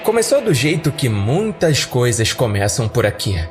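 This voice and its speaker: A man's voice: medium pitch, big pitch swings, normal speed, over music. A man with a Brazilian accent narrating an audio story in a colloquial, conversational storytelling way. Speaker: horror story podcast narrator. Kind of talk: audiodrama narration in first person.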